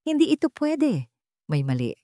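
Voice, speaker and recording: Woman as an agitated, commanding male doctor, raised pitch. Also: huge pitch swings, moderate pace, mellow, no room sound, studio quality. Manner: commanding, urgent. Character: agitated, domineering male doctor giving orders.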